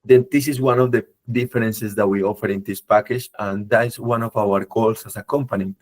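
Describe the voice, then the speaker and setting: A man presenting a webinar, his voice a bit low and tired from travel, speaking in non-native phrasing with frequent fillers and tag questions. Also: fairly even intonation, brisk. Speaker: company head presenting a webinar. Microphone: video call.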